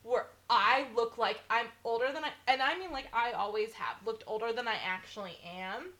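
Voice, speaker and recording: A woman's voice: a little high, normal speed, bright, forceful tone. A woman in her twenties co-hosting a podcast in casual chat, blunt and swearing freely, acting out other people's lines. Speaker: podcast co-host.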